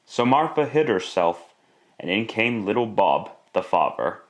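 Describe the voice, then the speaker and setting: A man's voice: on the low side, big pitch swings, unhurried. A man narrating a classic radio drama in a theatrical, emphatic storytelling style.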